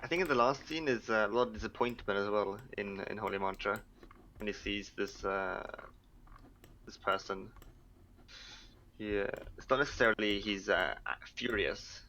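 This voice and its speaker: A man's voice: lowish voice, moderate pace, bright, forceful tone. A man, a game writer, chatting casually on a live stream. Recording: dull lo-fi mic, faint typing noise.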